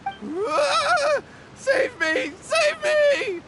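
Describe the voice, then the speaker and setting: A man's voice: very high-pitched, very animated, drawn-out words, pushed and bright, slight background noise, heavily acted. An actor playing an anxious, contrite young man in a video game, earnest, fretful and apologetic. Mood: panicked. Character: anxious, contrite young schemer protecting his brother.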